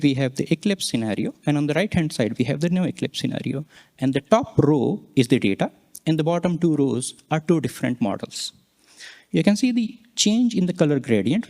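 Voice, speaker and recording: Higher-pitched man presenting research. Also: darkish tone. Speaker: researcher presenting a conference talk. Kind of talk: scientific presentation, explaining slides.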